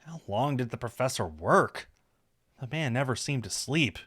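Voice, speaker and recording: A man's voice: medium pitch, animated, normal speed, fairly bright tone, slightly rough. A man reading a visual novel aloud on a stream, narrating the story with casual asides.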